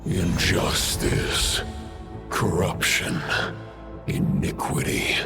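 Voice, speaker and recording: Ancient wrathful male game entity, imperious, very low, gravelly and slow, over prominent music. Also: level tone, full character voice. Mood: solemn wrath. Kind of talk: video game villain acting.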